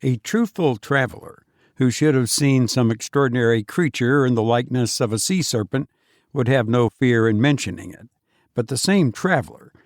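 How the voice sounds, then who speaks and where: A man reading classic literary prose as a scripted audiobook narration, formal and measured. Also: mid-range voice, darkish tone, no room sound, studio quality.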